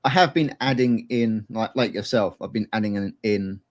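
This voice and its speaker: Guy co-hosting, lowish voice, easygoing, explains stuff step by step. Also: moderate pace. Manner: relaxed, rambling, explains step by step. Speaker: co-host. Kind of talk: casual chat.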